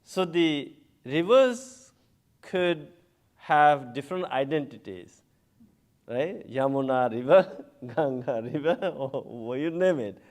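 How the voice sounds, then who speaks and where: A Buddhist monk from Bangladesh speaks at a symposium, taking his time and pausing on fillers. His medium-pitched voice is slow and even, and he breaks into soft chuckles as he talks. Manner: unhurried, chuckles often, many hesitations. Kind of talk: symposium talk.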